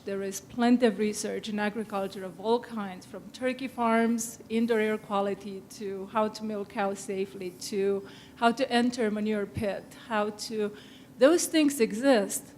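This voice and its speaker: A woman, a safety researcher, making earnest, measured remarks on a panel and laying out her points as lists. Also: mid-range voice, steady tone, a little hiss or hum. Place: conference room panel.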